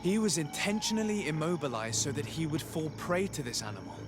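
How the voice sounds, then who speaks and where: An actor playing a courteous, composed envoy investigating in a video game, speaking formally and deliberately. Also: male voice, fairly high pitch, very animated, over music. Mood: analytical. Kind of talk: measured game dialogue.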